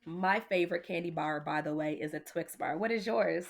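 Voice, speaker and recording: Female storyteller, teacher type, animated read-aloud delivery, talks straight to the kids. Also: lowish voice, moderate pace, gravelly, a little hiss or hum. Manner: addresses child listeners directly, with questions. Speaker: teacher reading a picture book aloud.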